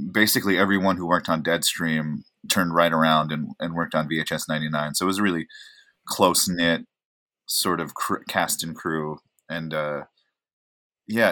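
Casting: low-voiced male actor, 30s, laid-back, self-deprecating guest. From Oregon, USA. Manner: relaxed, rambling, self-deprecating, many fillers. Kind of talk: casual interview chat.

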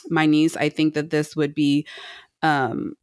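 A middle-aged woman co-hosting a podcast in casual conversation, explaining her thoughts earnestly in a rambling, halting way. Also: sits low, completely flat delivery, darkish tone, clean tone, no echo, studio quality. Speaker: podcast co-host. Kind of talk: casual chat, reviewing a book.

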